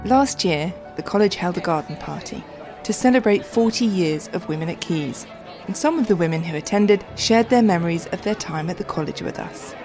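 A woman podcast host reading scripted, measured narration. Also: fairly low pitch, animated, a little mellow, faint music behind.

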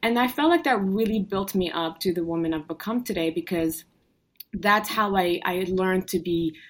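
Lowish-voiced woman from morocco, chatty and upbeat, lots of ums and restarts. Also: normal speed. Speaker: guest entrepreneur. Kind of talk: casual conversational storytelling.

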